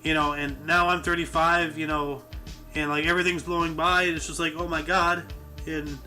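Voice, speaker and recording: A man in his thirties with a high, bright, ringing voice, chatting casually with friends. He rambles and loops through his stories, leaning on fillers. Faint music plays behind him. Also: flat delivery, moderate pace. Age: 30s. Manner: rambling, many fillers like "you know". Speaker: podcast host. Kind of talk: casual group chat.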